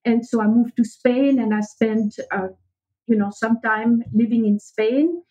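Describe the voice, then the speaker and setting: A woman's voice: fairly high pitch, fairly even intonation, unhurried, dark tone. French-accented woman, lo-fi sound. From French-speaking Switzerland. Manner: earnest, spiritual storyteller. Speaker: guest. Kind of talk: podcast interview storytelling.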